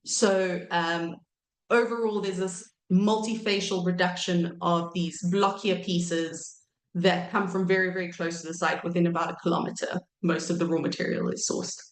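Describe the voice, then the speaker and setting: Woman, 30s, croaky from a cold, low voice, hesitant academic lecture, echoey room. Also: fairly even intonation, normal speed. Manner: hesitant, many ums and self-corrections. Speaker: archaeology researcher giving a talk.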